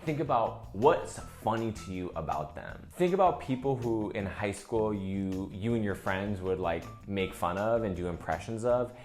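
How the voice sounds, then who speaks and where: A man's voice: on the low side, animated, normal speed, few pauses, darkish tone. A man giving a casual, conversational lesson as a comedy instructor, often dropping "like" into his sentences. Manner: conversational, peppered with "like". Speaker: comedian teaching character reels. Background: faint music.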